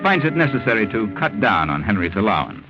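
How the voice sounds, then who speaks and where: An American actor playing an ominous, all-knowing mystery narrator in 1940s radio style, theatrical and deliberate. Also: male voice, lowish voice, big pitch swings. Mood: wry storytelling. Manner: theatrical, deliberate, sinister storytelling. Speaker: narrator host of mystery series. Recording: muffled 1940s radio, loud music.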